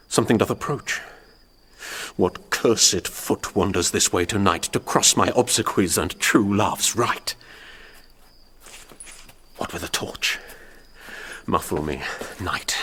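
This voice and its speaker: Young noble suitor, British accent, lowish voice, proud, mournful, formal Shakespearean verse, faint background noise. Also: male voice, lively intonation, slow, fairly bright tone, heavily acted. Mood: suspicious, hushed. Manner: formal, declamatory verse delivery. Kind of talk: Shakespearean radio drama acting.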